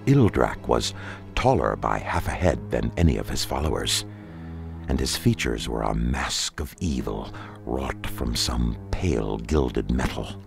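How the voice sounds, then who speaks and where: A male audiobook narrator with an extremely deep voice and a gravelly creak. He reads dark fantasy solemnly and deliberately, with lively swings in intonation, over faint music. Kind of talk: scripted dramatic narration of dark fantasy fiction.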